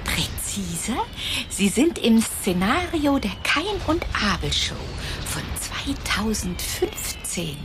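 Slow, hushed, precise woman. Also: on the high side, very animated, over music. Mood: cool. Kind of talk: German radio drama acting.

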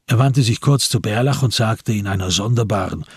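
A male narrator of a literary radio drama. His voice is on the low side and heavily husky. He delivers the prose with measured, dramatic weight in a dry, close studio sound. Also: a little mellow, dry recording.

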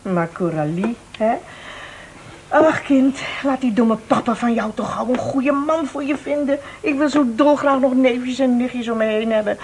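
An actress playing a thrifty, condescending old aunt in a Dutch radio play, sharp-tongued and coaxing by turns. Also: female voice, fairly high pitch, measured pace, a little hiss or hum. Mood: coaxing, pleading. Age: older.

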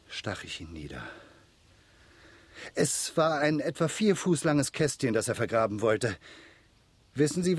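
An actor playing a fearful, guilt-ridden manservant in a German radio drama, husky and agitated as he confesses a vendetta. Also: mid-range voice.

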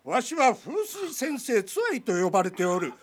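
A man's voice: high voice, sing-song, moderate pace. A man playing a feisty, boastful old Chinese feng shui master in a radio drama, with theatrical, archaic old-man speech. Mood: smug, boastful. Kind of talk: Japanese radio drama acting.

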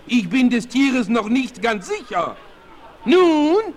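A man's voice: very high voice, sing-song, slow, exaggerated voice. An actor playing a boastful, daring circus showman and animal tamer, announcing grandly in a 1960s radio play. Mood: grandiose showmanship. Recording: vintage vinyl radio play, faint noise.

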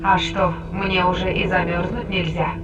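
A woman's voice: lowish voice, little pitch movement, moderate pace, dull audio, natural voice. A woman playing a weary, evasive spaceship crew member in a Russian audio drama, recorded at a distance. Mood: reluctant. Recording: distant voice under loud music.

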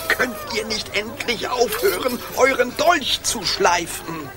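A man's voice: high voice, animated, takes it slowly. An actor playing a mysterious male court astrologer who speaks solemnly in riddles, in a radio play. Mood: cryptic. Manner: solemn, cryptic. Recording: radio play with loud outdoor ambience.

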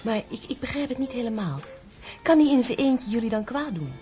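A woman acts a poised, curious figure in a television drama. Her mid-range voice is animated and questioning, coolly interested. Music plays clearly behind her. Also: fairly high pitch, brisk, natural voice. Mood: intrigued.

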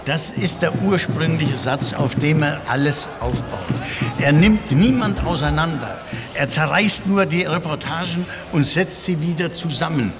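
A man's voice: a little high, a little slow. A man giving admiring commentary in an interview. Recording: clearly noisy ambient recording.

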